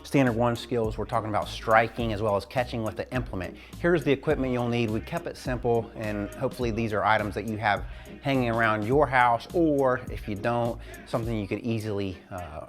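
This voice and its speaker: A man with a low voice hosts an instructional PE video. He talks directly to his students, explaining things step by step as a teacher would. Faint music sits behind him. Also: brisk. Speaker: physical education teacher hosting a lesson video.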